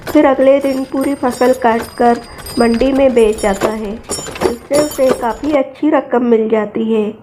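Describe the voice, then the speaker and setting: A woman's voice: a little high, steady tone, a little slow, noisy background. A woman narrating a scripted children's story.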